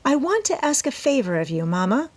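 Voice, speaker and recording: A woman's voice: high-pitched, sing-song, a little fast, voice pushed up. A woman voicing a genteel, self-assured teenage girl with airy, persuasive manners in a storybook reading. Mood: polite, eager. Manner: airy, important, sweetly persuasive.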